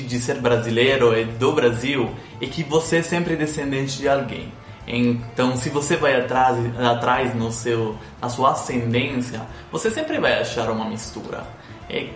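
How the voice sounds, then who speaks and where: This Italian-accented man from Sicily talks as an interview guest, pausing often and restarting his sentences. He is recorded in an echoey room, with faint music behind him. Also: mid-range voice. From Sicily, Italy. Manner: hesitant, many fillers and restarts. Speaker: guest, citizenship consultant. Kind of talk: casual interview chat.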